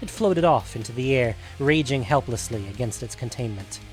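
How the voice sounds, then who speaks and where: Man narrating fantasy fiction over soft music. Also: medium pitch, animated, normal speed, low-quality recording. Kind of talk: dramatic scripted fantasy story narration.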